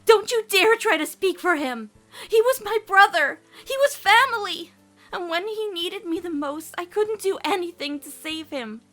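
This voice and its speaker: An anguished cartoon teenage girl, acted by a woman, high in pitch and full of guilt. She is protective and emotionally raw, speaking haltingly as in a dramatic comic dub. Faint music plays under her. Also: animated, fairly bright tone. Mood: furious grief.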